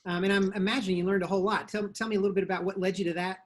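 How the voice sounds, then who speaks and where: A man hosting a podcast interview in a relaxed, casual way. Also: sits high, racing. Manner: laid-back, uses "man" and "very cool". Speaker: podcast host.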